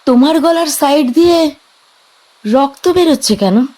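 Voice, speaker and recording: A young woman in a horror radio play, frightened and insistent, her voice high and pressing, played by an actress. She sounds urgent and on edge throughout. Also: expressive, measured pace, warm tone. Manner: high, urgent and tense delivery. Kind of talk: audio drama acting.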